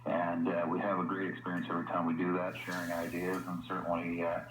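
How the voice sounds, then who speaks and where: Male interview guest, deep and halting, heard through a noisy radio in an echoey room. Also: completely flat delivery. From Canada. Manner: hesitant, halting delivery. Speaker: interview guest, realtor association representative.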